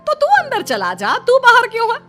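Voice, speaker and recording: Woman acting shrill, fussing Indian mother. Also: huge pitch swings, normal speed, over music, exaggerated voice. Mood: indignant. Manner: loud, fussing. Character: fussing, indignant Indian mother, middle-aged, protective. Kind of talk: cartoon story character voice.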